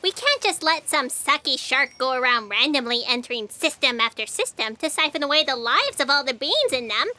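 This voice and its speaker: An extremely high, piercingly bright fact checker, indignant and excitable. Her pitch swings around, in a comic space audio play with music behind. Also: fairly quick, few pauses, exaggerated voice. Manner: excitable, exclamatory. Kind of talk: comic sci-fi audio drama.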